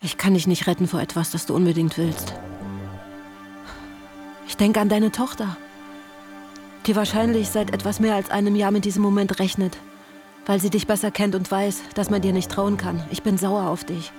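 A woman's voice: mid-range voice, steady tone, darkish tone, natural voice. Actress as a blunt, caring woman over music. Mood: reproachful, worried. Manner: blunt, deliberate, sardonic. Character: exasperated, caring woman friend, blunt and resigned. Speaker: radio drama actress. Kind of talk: letter read as dramatic monologue in radio play.

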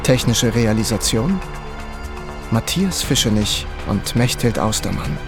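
A male announcer reads out radio play credits. He speaks very slowly and deliberately in a mid-range voice over prominent music. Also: mid-range voice, lively intonation. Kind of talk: measured scripted radio drama credits.

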